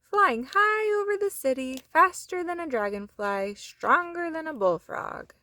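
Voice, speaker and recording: A woman voicing an excitable, imaginative young boy frog in a storybook, exclaiming theatrically. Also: high-pitched, unhurried, no echo, pitched above the performer's own voice, full character voice. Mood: excited, dreamy. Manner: exclaiming, theatrical, stretched words.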